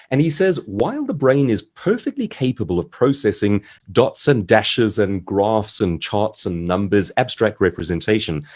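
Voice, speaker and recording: A man with a fairly low, husky voice talks through advice in an online seminar. His intonation swings widely as he explains each point in articulate, carefully phrased conversational sentences. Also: a little mellow. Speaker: author and speaker giving a writing seminar. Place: online video-call seminar.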